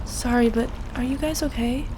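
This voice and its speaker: A woman's voice: a little high, steady tone, moderate pace, warm tone, a little hiss or hum, lightly acted. A woman playing a caring young friend in an audio roleplay, soothing and plain-spoken. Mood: concerned, helpful.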